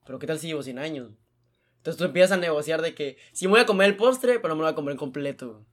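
Fast-talking, slangy young man. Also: on the high side. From Mexico. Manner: slangy, animated, fast storytelling. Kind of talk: casual chat.

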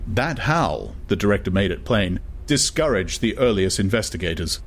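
A man narrating a dramatized audiobook in a measured, literary manner. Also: fairly low pitch, animated.

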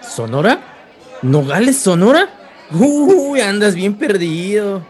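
A man's voice: high-pitched, sing-song, slow, a little mellow, in a large hall, some chatter behind, exaggerated voice. An actor playing a folksy, jocular rural man with a northern Mexican accent and wry, slangy talk in a radio drama. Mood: teasing surprise. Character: folksy rural man narrating his misadventure, jocular, teasing. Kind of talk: radio drama narration and dialogue.

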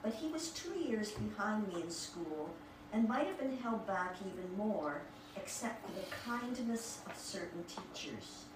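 Woman, mid-pitched voice, reading passages to a group, halting asides, heavy room echo, noisy background. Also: lively intonation. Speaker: writers' group member reading aloud. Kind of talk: informal book discussion with read-aloud passages. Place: echoey room with a small group present. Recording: echoey room, distant mic, clear background noise.

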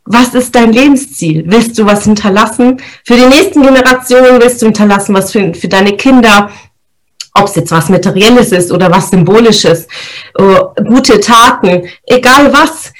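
Woman, 30s, higher register; motivational podcast host, explains step by step. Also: moderate pace. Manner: lively, explains step by step with frequent "ja?" check-ins. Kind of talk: motivational talk on goal setting.

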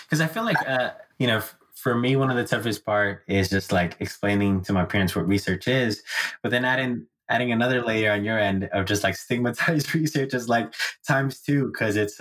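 A man's voice: on the low side, a bit bright, low-quality recording. A man hosting a casual conversation, chatty, asking follow-up questions. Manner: friendly, conversational, asks follow-ups. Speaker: host.

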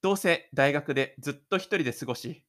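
A man's voice: a little high, fast talker, a bit bright. A man reading scripted third-person narration for a self-made audio drama.

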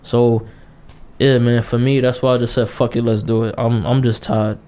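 A man's voice: mid-range voice, level tone, moderate pace, dark tone, clear, resonant tone. Man in his twenties, podcast co-host, blunt, passionate, repetitive, swears freely, mid-range voice. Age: 20s. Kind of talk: casual podcast chat.

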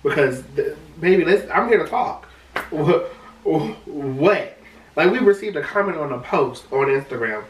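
A man with a high-pitched voice, co-hosting a casual podcast chat, talking in an animated way and often restarting his words. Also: measured pace, lo-fi sound, slight background noise. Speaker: podcast co-host. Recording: roomy sound from a distant mic.

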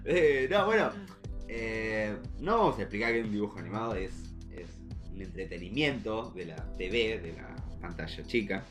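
A man's voice: on the low side, lively intonation, normal speed, a bit bright, soft background music. A young man with an Argentine accent talking casually as a podcast co-host. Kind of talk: casual chat.

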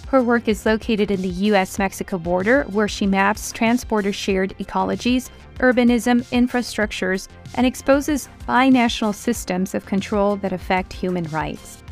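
A woman's voice: medium pitch, normal speed, few pauses, soft background music. A woman hosting a podcast, reading a scripted introduction and a formal guest biography. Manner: reads a formal guest bio. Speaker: podcast host.

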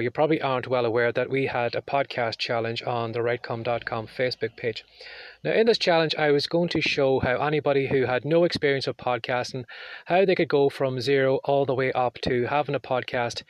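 A man hosting his podcast in a chatty, rambling way, his voice hoarse and croaky. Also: mid-range voice, no echo. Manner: chatty, rambling, apologetic asides. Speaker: podcast host. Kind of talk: casual solo podcast talk.

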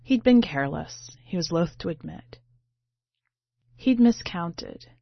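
A woman with a lowish voice reads literary fiction aloud in a storytelling style. The recording is slightly muffled, with audible room echo around her narration. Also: expressive, slow pace, creaky. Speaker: podcast editor reading a short story. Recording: muffled, roomy home recording.

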